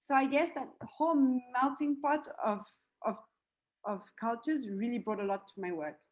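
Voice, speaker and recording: A woman's voice: on the high side, normal speed, rough recording. A young woman from Switzerland, raised in Zimbabwe, talking casually as an interview guest, with lots of fillers and restarts. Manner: chatty, many fillers and restarts, light laughs.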